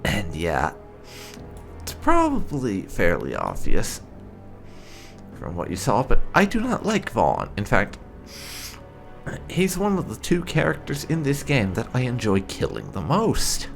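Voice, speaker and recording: A man's voice: mid-range voice, wildly swooping pitch, a little slow, vocal fry, pauses often, faint music behind. A man giving casual roleplay commentary over a video game he is hosting. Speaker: gaming streamer, host.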